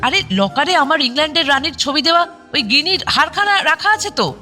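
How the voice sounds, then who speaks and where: Bright, high-pitched nagging wife. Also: female voice, lively intonation, brisk, faint music behind. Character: sharp-tongued, nagging yet fond wife and mother-in-law. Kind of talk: audio drama acting.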